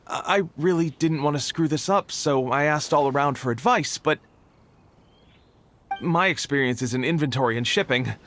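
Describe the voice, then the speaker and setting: A man's voice: a little high, moderate pace, fairly bright tone, a little hiss or hum, lightly acted. A man playing an earnest, anxious, overly polite young logistics worker in a video game, speaking formally and a little flustered. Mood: anxious, humble. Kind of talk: video game cutscene acting. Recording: music behind the voice.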